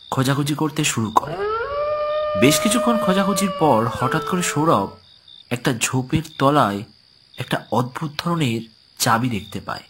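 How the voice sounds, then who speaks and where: A man telling a horror cartoon story as narrator, calm and even. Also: mid-range voice.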